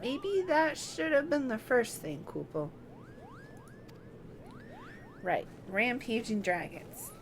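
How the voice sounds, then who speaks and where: A woman's voice: on the high side, big pitch swings, unhurried, faint music behind. A woman voicing a small, helpful, scatterbrained moogle guide from a fantasy video game, chirpy and absent-minded. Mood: cautioning, scatterbrained.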